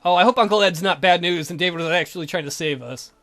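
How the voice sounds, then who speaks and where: A man's voice: high-pitched, fast, fairly bright tone, soft background music. A man doing playful game commentary, jokey and irreverent as he reads dialogue aloud. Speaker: let's play commentator.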